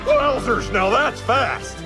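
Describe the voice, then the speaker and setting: A man's voice: very high voice, takes it slowly. A man voicing a cocky, laid-back adventurer in a cartoon video game, breezy and swaggering. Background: music.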